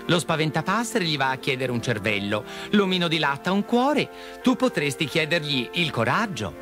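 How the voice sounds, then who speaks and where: A man's voice: on the high side, big pitch swings, normal speed, music in the background, pitched above the performer's own voice. A man voicing a brave young storybook girl who is forthright, chiding and kindly. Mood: encouraging, kindly.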